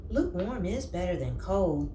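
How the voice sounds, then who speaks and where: A storybook reader voicing an excitable female rat who chatters eagerly. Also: high voice, normal speed, roomy sound, background noise, pitched above the performer's own voice.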